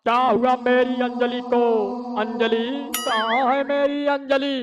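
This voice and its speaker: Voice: mid-range voice, flat delivery, takes it slowly, dull audio, pitched below the performer's own voice, close to an everyday voice. A performer voicing a lovesick, dazed male doctor who moans for his lost love in a comedy sketch. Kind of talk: comic cartoon voice acting. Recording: distant voice with music under.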